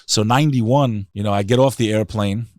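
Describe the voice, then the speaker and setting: A man's voice: lowish voice, normal speed, darkish tone, no room sound, studio quality. A middle-aged man, speaking earnestly and emphatically with rhetorical questions, as an interview guest giving opinions and personal stories. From New York City area, United States. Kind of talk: impassioned opinion and personal storytelling.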